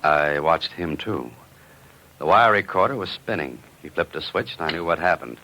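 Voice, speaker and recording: A man's voice: low-pitched, moderate pace, heavily acted. An actor playing a cool-headed, wry amateur sleuth who narrates his own adventure in a vintage radio drama. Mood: tense narration. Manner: wry, deadpan, unruffled. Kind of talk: 1940s-50s radio detective acting and narration. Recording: noisy vintage radio recording.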